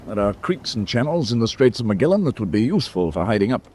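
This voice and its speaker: An actor playing a thoughtful, shrewd senior British naval officer in a war radio drama, with a clipped, musing authority. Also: male voice, lowish voice, sing-song, quick pace, dark tone, slight background noise.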